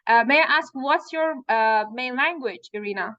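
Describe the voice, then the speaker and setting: Higher-pitched woman, Farsi native, explaining patiently over a dry, muffled call line. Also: moderate pace, fairly bright tone, dry recording. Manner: explanatory, frequent fillers. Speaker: coach. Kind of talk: live online training.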